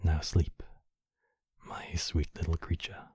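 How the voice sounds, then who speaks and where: Man acting a very deep, possessive demon king. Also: steady tone, no echo, exaggerated voice. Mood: tender, protective. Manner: formal, courtly, measured phrasing with soft breathy drops. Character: possessive demon king, courtly, menacing yet tenderly protective. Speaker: voice actor in a roleplay. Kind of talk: ASMR roleplay, slow intimate acting. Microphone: close microphone, dry.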